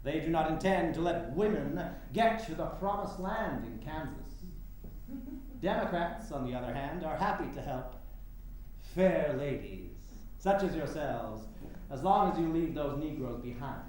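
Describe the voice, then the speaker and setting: An actor plays a grandiose, moneyed political showman in a stage drama. His oratory swings widely in pitch and pushes over others. The sound is very echoey, with faint noise behind it. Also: animated, unhurried, darkish tone. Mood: grandiose, flattering. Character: rich, pompous male political showman wooing suffragists. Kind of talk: staged play reading.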